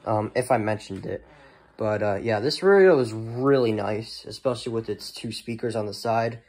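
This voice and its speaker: A man giving a casual, unscripted show-and-tell as a hobbyist presenter, halting and often trailing off, recorded indoors at home. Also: lowish voice, darkish tone. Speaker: hobbyist presenter of a weather radio collection.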